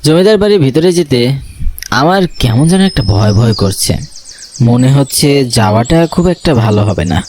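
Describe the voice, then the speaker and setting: A man's voice: medium pitch, big pitch swings, moderate pace, a little mellow, soft background music, close to an everyday voice. An actor playing a nervous, curious young man narrating his own horror cartoon tale. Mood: uneasy, suspenseful. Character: nervous, curious young male photographer telling his ghost story.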